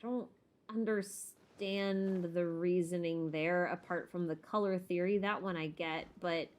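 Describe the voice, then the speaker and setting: A woman's voice: on the low side, fairly even intonation, measured pace. A woman hosting a livestream, answering viewer questions in a casual, opinionated way as she thinks aloud, with informal, emphatic phrasing. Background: background music.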